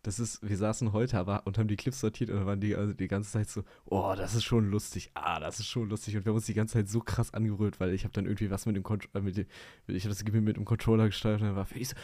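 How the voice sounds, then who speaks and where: A man's voice: on the low side, steady tone, rapid-fire, warm tone, no room sound. A young man co-hosting a podcast in casual chat, rambling and laughing a lot. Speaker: podcast co-host.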